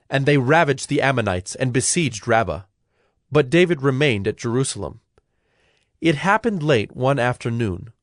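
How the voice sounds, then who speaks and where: Man narrating bible story, medium pitch, formal and measured, music playing under him. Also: no echo. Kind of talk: dramatized scripture narration.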